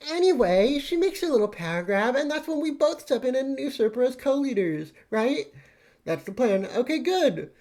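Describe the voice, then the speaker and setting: Scheming young female troll played by a man, high voice, brash, mocking and bossy, comic reading. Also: very animated, normal speed, darkish tone, exaggerated voice. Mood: scheming, smug.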